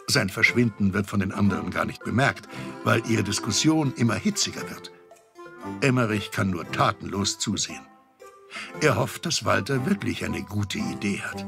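A genial storyteller with a lowish, gravelly voice. He narrates a scripted children's radio play over faint music. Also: expressive, moderate pace. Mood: storytelling. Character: genial adult male storyteller.

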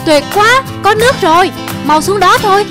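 An excitable, whiny little cartoon frog. The voice is high and exaggerated, made for a children's animation. Music plays softly behind it. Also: female voice, moderate pace. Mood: excited. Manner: exaggerated, expressive cartoon delivery. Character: two talking frogs, one whiny and rash, one cautious.

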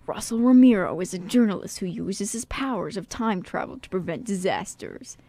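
A woman's voice: medium pitch, vocal fry, darkish tone. A woman hosting a radio show, talking in a casual, jokey, informal way. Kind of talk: casual radio chat and reading a synopsis aloud.

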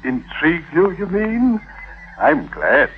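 An actor playing a meek, lovestruck greengrocer suitor, hesitant and pleading, in a 1950s radio drama. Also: male voice, on the high side, huge pitch swings, takes it slowly, heavily acted. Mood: earnest. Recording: noisy, muffled vintage radio recording.

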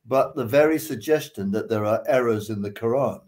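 A man's voice: medium pitch, brisk, darkish tone. An older man with a British accent, speaking off the cuff as a panelist on a video call. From United Kingdom. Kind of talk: unscripted panel discussion. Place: living room on a video call.

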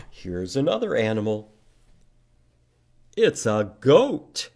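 A man in his fifties hosts storytime for young children. He takes his time, speaking slowly and emphatically, with pitch that rises and falls in a very lively way. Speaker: library storytime host. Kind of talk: children's read-aloud and teaching. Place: indoor public library room.

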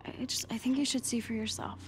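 A woman's voice: on the high side, fairly even intonation, a little fast, a little hiss or hum, close to an everyday voice. An actress playing an earnest, stubborn young American woman in a cinematic video game. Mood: earnest, urgent.

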